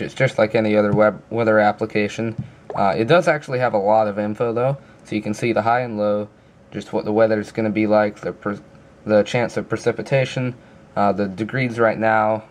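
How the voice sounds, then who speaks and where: A man giving a casual, informal review walkthrough in a plain-spoken explaining manner. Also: fairly low pitch. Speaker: app reviewer.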